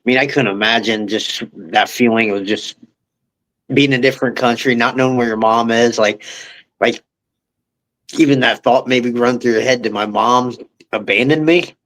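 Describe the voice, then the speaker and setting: A man's voice: medium pitch, steady tone, moderate pace, fairly bright tone, low-quality recording. A man hosting a podcast, reacting sympathetically and asking questions. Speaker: podcast host. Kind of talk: interview questions.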